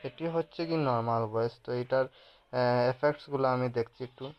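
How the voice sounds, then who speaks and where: A man's voice: medium pitch, a little fast, clean tone. A man with a Bangladeshi accent narrating a phone app tutorial as the host, in a slightly dull recording.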